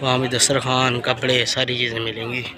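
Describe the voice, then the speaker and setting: A man's voice: mid-range voice, completely flat delivery, a little fast, a crowd in the background. A young man from Pakistan casually narrating a walking vlog outdoors, pointing things out as he goes and talking into a handheld phone. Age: young adult. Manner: chatty, pointing things out as he walks. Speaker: vlogger. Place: outdoors on streets and a market. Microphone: phone camera held in hand. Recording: loud outdoor street and traffic noise.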